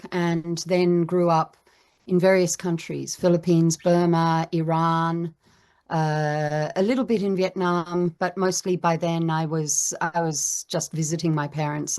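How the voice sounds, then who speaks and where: A middle-aged woman with a fairly low voice, speaking as a documentary filmmaker in an interview. She answers in a reflective, measured way, pausing often as she gathers her thoughts. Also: level tone, darkish tone. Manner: reflective, measured, with frequent pauses.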